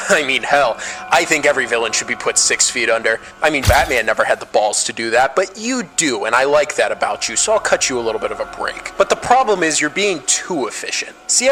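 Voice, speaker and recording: A ruthless government director, a commanding middle-aged woman, as a man plays her. The delivery is curt, authoritative and threatening, in comic-book style over clear music. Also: mid-range voice, pushed and bright. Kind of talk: motion comic voice acting.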